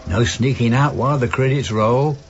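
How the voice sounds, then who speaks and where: An actor playing a chirpy elderly husband who jokes and teases, in a radio drama. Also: male voice, medium pitch, moderate pace, dull audio, natural voice. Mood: cheeky, teasing. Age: older. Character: chirpy elderly London husband, cheeky joker.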